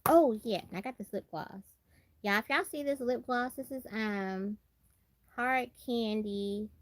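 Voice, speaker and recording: A woman's voice: on the high side, measured pace. A woman from Brooklyn with an American, country Southern-tinged accent, chatting casually and expressively as a livestream host, repeating phrases for emphasis. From Brooklyn, New York. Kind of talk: casual chat.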